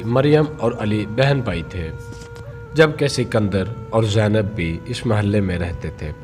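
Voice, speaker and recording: A man reading a scripted moral story for children as a storyteller, in a measured read-aloud manner. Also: lowish voice.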